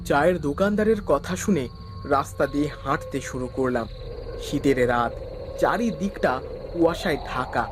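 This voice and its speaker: A man's voice: mid-range voice, slight background noise. A man giving a scripted first-person narration of a horror story.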